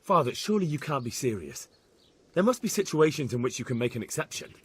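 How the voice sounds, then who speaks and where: A man's voice: medium pitch, very animated, fast, breathy edge. An actor playing an earnest, dogged young man who questions people politely but insistently in a video game cutscene. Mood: indignant.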